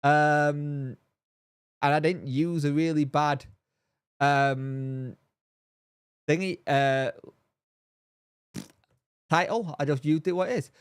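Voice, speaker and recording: A man's voice: a little high, fairly even intonation, slow pace, pauses often, clear, resonant tone, dry recording, studio quality. A man with a blocked, nasal and throaty voice, rambling casually through a live stream and answering chat by name. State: congested, bunged up. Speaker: fan channel host reading live chat.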